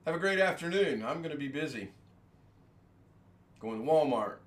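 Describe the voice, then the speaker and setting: A man's voice: a little high, animated, normal speed. A man hosting a casual home livestream, rambling from topic to topic, making fun of himself and chuckling often. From United States. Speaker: livestream host. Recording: echoey room with faint ambience.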